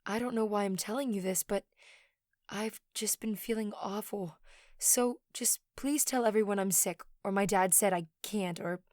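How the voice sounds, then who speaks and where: A woman's voice: mid-range voice, normal speed, darkish tone, no room sound, clean studio sound, close to an everyday voice. A woman playing an anxious, depressed teenage girl in an audio drama, hesitant and weary. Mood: hesitant, low.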